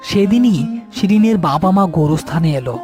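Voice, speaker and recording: High-pitched male storyteller over plucked strings. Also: animated, brisk, darkish tone. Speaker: story narrator. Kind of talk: scripted ghost story narration. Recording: music behind the voice.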